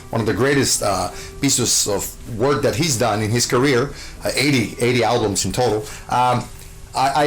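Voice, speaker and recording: A man from Costa Rica, a show host, answering interview questions with gushing enthusiasm, full of fillers and restarts. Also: medium pitch.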